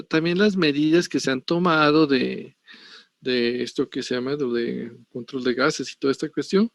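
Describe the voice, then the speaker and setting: A man's voice: medium pitch, gravelly, darkish tone, dry recording. A middle-aged man with a Colombian accent giving an online pathology lecture, digressive and often checking with tag questions. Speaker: university lecturer.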